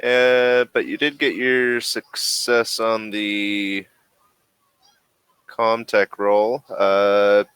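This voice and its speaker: A man narrating a tabletop role-playing game as game master in a casual, halting way, thinking aloud as he goes. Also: fairly low pitch, flat delivery, a bit bright, smooth, dry recording. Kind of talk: casual, improvised game narration and rules talk.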